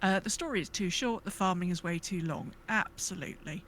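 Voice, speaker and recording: A woman's voice: fairly low pitch, fairly quick, a bit bright, slight background noise. A woman co-hosting a podcast in casual gaming chat, thinking aloud in a hesitant, rambling way. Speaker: podcast co-host.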